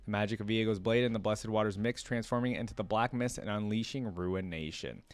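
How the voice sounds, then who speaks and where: A man's voice: on the low side, a little fast, clean tone, dry recording. A man hosting a reaction video, casually commenting and reading aloud in a conversational way. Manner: conversational, reacting with interjections as he reads.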